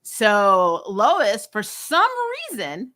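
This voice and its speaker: A woman casually recapping TV episodes as a vlog host, animated, often acting out lines and dropping in "you know". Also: mid-range voice, very slow. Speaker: host of a comic TV review vlog.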